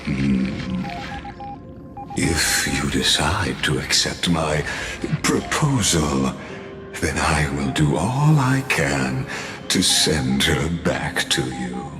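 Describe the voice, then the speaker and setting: Courtly video game villain, menacing calm, theatrical formal delivery, roomy echo, prominent music behind. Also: male voice, a bass voice, drawn-out words, exaggerated voice. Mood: measured. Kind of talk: video game story acting.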